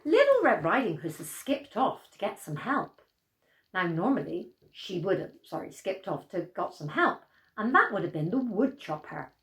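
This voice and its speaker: An older woman telling a bedtime story in an animated storyteller's style. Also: medium pitch, normal speed, reverberant.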